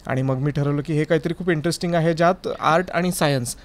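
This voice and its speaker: A man's voice: fairly high pitch, quick pace. A man from Maharashtra, a sound designer, telling his story conversationally as a guest on a studio podcast. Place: recording studio.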